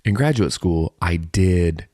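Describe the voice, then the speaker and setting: A man's voice: deep voice, lively intonation, slow pace, a little mellow, no echo, studio quality. A man co-hosting a podcast in casual comedic chat, joking with mock-serious exaggeration. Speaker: podcast co-host.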